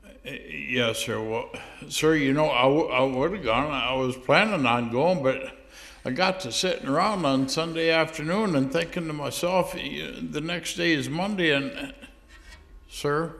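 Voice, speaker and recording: A man's voice: fairly high pitch, measured pace, creaky, a bit bright. Man doing stammering churchgoer. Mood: sheepish excuses. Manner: stammering, hesitant, with many restarts. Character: sheepish churchgoing man making excuses to God. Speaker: church speaker. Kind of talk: comic phone-call monologue.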